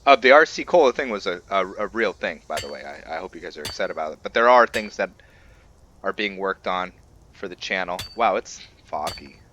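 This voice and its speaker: Male game streamer, deep voice, unhurried and halting, casual roleplay narration, slightly dull lo-fi sound. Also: animated, bright, forceful tone, a little hiss or hum. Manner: laid-back, halting, thinking aloud. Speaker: host of a gaming channel.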